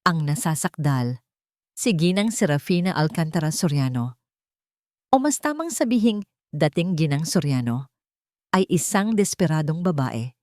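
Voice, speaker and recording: A woman's voice: low-pitched, animated, normal speed, long pauses, darkish tone, no room sound, studio quality. A woman voicing an aggressive male lawyer in a story, formal and accusatory. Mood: accusing. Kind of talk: courtroom drama in narrated story.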